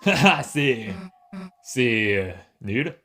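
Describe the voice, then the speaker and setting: A man voicing a brash, tough teenage monster girl with a soft side in an amateur video game comic dub, gruff and offhand. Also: medium pitch, expressive, drawn-out words, a little hiss or hum, exaggerated voice. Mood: awkward, gruff.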